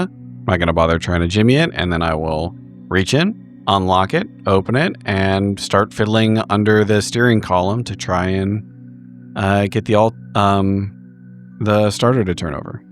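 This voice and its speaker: A man casually improvising his character's actions as a player in a tabletop roleplaying game, swearing now and then. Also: fairly low pitch. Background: background music.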